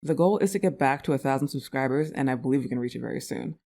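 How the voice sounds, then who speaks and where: A woman's voice: very deep voice, fast talker, darkish tone. Woman chatting casually to her listeners. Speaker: audio roleplay creator and performer. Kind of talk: casual outro chat to listeners.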